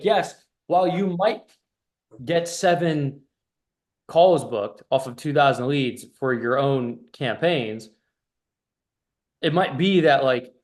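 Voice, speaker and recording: A man coaching on a casual call, blunt and profane, with an emphatic, stop-start delivery that often repeats itself. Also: mid-range voice. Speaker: business coach.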